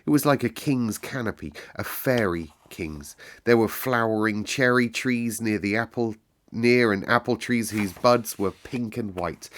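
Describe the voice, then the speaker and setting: A man reading a children's novel aloud in an expressive storytelling manner. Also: fairly low pitch.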